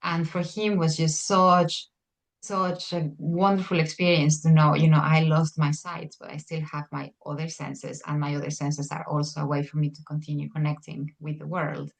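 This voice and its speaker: A woman with a notably low voice speaks thoughtfully as a perfumer and psychotherapist in a conversational interview. She pauses often, with many fillers and restarts. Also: flat delivery, gravelly, low-quality recording.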